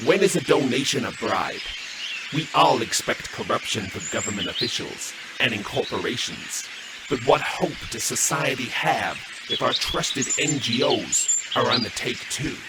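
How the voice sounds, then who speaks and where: A man's voice: mid-range voice, expressive, measured pace, lightly acted. Casting: man as disillusioned influencer commentator, medium register, rhetorical, heavy bird and insect noise. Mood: pointed, disillusioned. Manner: rhetorical, pointed. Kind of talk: scripted commentary in audio drama. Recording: very noisy outdoor ambience, birds, insects.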